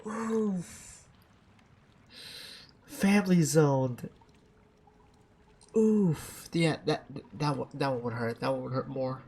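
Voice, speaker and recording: A man giving casual live commentary as a game streamer, reacting in a halting, informal, repetitive way. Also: a little high, vocal fry, darkish tone, husky.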